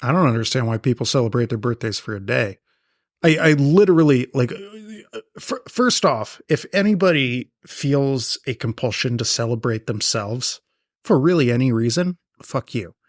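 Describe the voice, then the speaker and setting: A man's voice: medium pitch, lively intonation, moderate pace, dry recording. A man in his late thirties co-hosting a podcast, talking in a dry, sardonic way with grumpy humor. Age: 30s. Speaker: podcast co-host. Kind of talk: casual chat.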